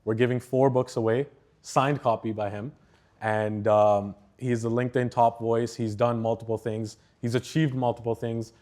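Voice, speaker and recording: A man's voice: medium pitch, flat delivery, normal speed, mellow. A man hosting a university event, introducing the guest on stage with some hesitant fillers before his first question. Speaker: event host.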